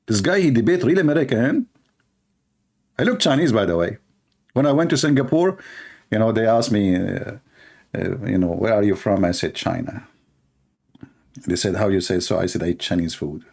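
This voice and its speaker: A man's voice: lowish voice, lively intonation, normal speed, gravelly, long pauses, a little mellow, slightly rough. A man hosting a live stream, talking in a sarcastic, mocking way and mimicking others in funny voices. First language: Arabic.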